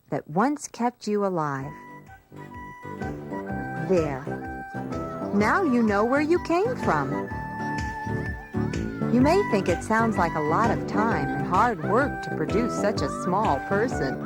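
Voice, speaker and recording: A woman narrating a scripted educational story for young children in a simple, explanatory way. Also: mid-range voice.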